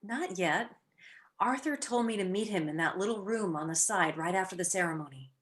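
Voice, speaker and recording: A woman's voice: on the low side, expressive, moderate pace, close to an everyday voice. A woman playing a clever, teasing young society wife with playful, wry delivery in a radio play.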